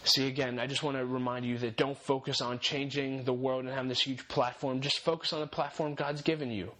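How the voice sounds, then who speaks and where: A man's voice: medium pitch, flat delivery, fairly quick, pushed and bright. Earnest young man giving faith-based encouragement. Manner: earnest, encouraging, repeats key phrases for emphasis. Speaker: podcast host.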